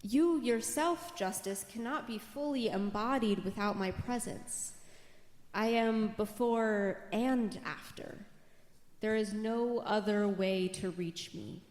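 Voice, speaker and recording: A woman playing Peace, a steady, self-assured motherly figure, in a dramatized church reading. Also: medium pitch, takes it slowly, lightly acted. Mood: assured, earnest. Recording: echoey hall, long reverb.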